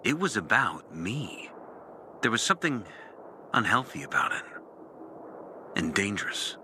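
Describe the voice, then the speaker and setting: A disillusioned ex-priest recording a game audio journal. His low voice is sharp and forceful, swinging widely as he broods through a bitter confession. He speaks deliberately, leaving dramatic pauses. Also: big pitch swings, slight background noise, full character voice. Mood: brooding, reflective. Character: disillusioned former priest, bitter and intense, recounting his lost faith. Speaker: character narrating an audio journal. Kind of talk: dramatic video game monologue.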